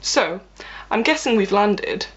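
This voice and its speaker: A woman's voice: medium pitch, expressive, slow pace, slightly rough, muffled recording. A woman playing a sassy, sceptical and curious travelling companion in an audio drama. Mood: teasing.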